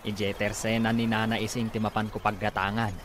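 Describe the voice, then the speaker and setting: A man's voice: on the low side, little pitch movement, fairly quick, lightly acted. A man playing a broke, girl-curious village drinking buddy in an Ilocano radio comedy drama. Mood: intrigued. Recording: noisy radio drama with ambience.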